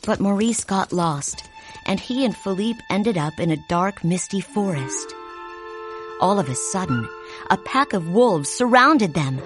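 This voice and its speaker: A woman reads a fairy tale aloud very slowly, her fairly low voice rising and falling expressively. She speaks clearly and carefully, with music playing clearly underneath. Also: lively intonation. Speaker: storybook narrator. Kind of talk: slow read-along storytelling.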